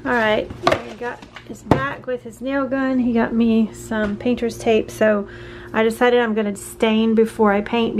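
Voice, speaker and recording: A woman in her forties talks through her kitchen project as she works, chatty and relaxed, restarting sentences as she goes. Her voice sits a little high, and a faint hum of the home hangs behind her on the camera mic. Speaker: home renovation vlogger. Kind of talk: casual DIY vlog narration. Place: small home kitchen, indoors.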